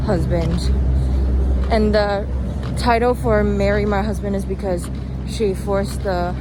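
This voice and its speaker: A young woman vlogging casually as she walks, hesitant and meandering, with a self-deprecating way of talking. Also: a little high. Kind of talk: casual rambling walking vlog. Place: outdoors, walking at night. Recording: noisy outdoor street, traffic ambience. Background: traffic and urban noise.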